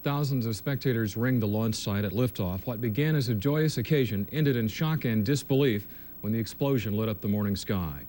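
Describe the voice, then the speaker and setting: A man's voice: fairly quick, warm tone. An American man anchoring a television news broadcast, reading scripted reports. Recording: old TV broadcast with faint rumbling noise.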